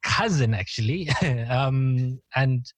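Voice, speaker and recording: A man's voice: medium pitch, animated, takes it slowly. A young man from Malawi hosting a podcast, chatting casually and laughing often between filler words. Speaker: podcast host. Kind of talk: casual interview chat.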